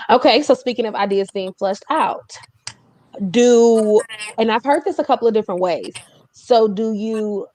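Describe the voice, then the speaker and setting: A woman's voice: mid-range voice, darkish tone. A woman hosting a casual panel chat, summing up what was said and probing with questions. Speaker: panel host.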